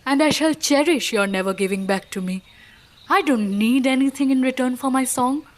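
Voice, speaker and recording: A woman's voice: sits high, moderate pace, close to an everyday voice. A woman playing a devoted, melancholy gold digger in an audio play, speaking in a tender, earnest, poetic way. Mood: wistful devotion.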